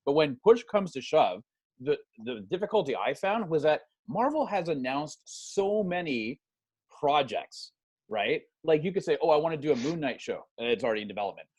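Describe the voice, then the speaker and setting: A man talking as a podcast guest, casually pitching ideas in a conversational, self-deprecating way. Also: very animated, moderate pace, a little mellow, husky.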